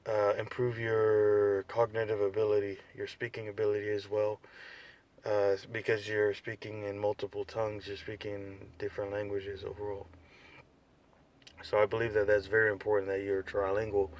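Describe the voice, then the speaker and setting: Young man, tired, unscripted vlog, low even voice, rambling delivery. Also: moderate pace, slight background noise. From United States. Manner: laid-back, rambling, many fillers and restarts. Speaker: vlogger.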